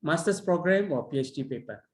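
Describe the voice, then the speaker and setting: A man chairing an online conference session and giving feedback on a paper, halting and full of fillers and restarts. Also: a little high, animated. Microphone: video call. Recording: roomy echo.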